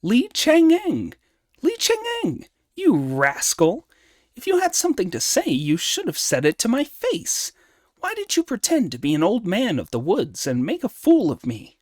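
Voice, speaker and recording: A man performs an excitable, boastful monkey hero from a storybook. The voice sits high and leaps about in pitch. It is cocky and exclamatory, recorded dry and close. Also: unhurried, no room sound, exaggerated voice. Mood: scolding. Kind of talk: storybook character dialogue.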